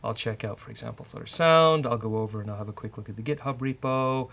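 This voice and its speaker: A man with an Irish accent hosting a casual live-coding demo, dry, teasing and halting. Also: mid-range voice, creaky, fairly bright tone. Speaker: host, software developer.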